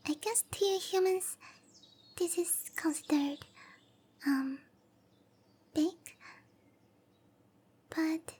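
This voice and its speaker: A woman playing a shy, sweet, petite young cowgirl monster girl in an anime-style ASMR roleplay, bashful and hesitant, with a soft, breathy girlish voice. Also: very high-pitched, full character voice. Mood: flustered, bashful. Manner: bashful, hesitant, slow and gentle.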